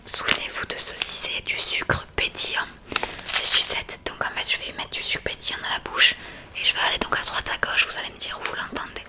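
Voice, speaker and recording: A woman whispers breathily as an ASMR examiner, gently and attentively explaining a hearing test step by step to the listener. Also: fairly quick. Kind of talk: whispered ASMR medical roleplay, addressing the listener.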